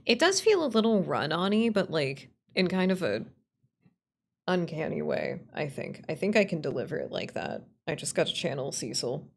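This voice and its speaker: A woman's voice: low-pitched, big pitch swings, normal speed, creaky. A woman livestreamer chatting casually and playfully with viewers, rambling and swearing as she goes. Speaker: streamer, writer and voice actor.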